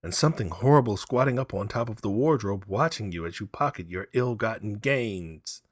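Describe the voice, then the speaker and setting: A man's voice: fairly low pitch, expressive, normal speed, dry recording, clean studio sound, voice pushed up. A man voicing a frivolous, mischievous grown son in a dramatic storybook reading.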